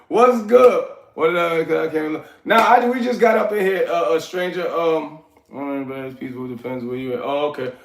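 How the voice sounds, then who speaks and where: A man, hosting a casual livestream, giving hyped shout-outs to viewers and rambling in streetwise slang. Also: a little high, moderate pace, creaky. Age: 40s. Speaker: livestream host. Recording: echoey room.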